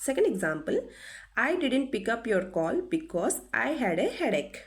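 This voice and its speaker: A young woman with a Telugu accent teaching English, reading each sentence slowly and deliberately, then explaining it. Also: mid-range voice, sing-song. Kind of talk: language lesson narration.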